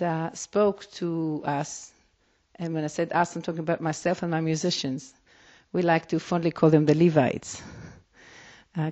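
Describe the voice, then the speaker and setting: A woman's voice: low voice, mellow. A woman rabbi whose native language is Hebrew, speaking with an Israeli accent and answering interview questions in a reflective way, often restarting her sentences. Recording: dull lo-fi recording.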